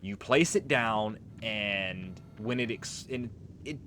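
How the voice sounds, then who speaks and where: A man's voice: fairly low pitch, a bit bright, slight background noise. A man giving casual, rambling gameplay commentary, explaining things as he plays and swearing freely. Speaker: let's play host.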